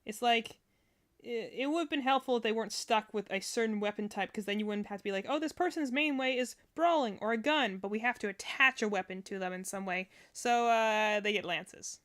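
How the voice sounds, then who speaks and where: A woman's voice: fairly high pitch, brisk, a bit bright. A woman hosting a casual reaction livestream, thinking aloud in a rambling way. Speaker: streamer, reaction host.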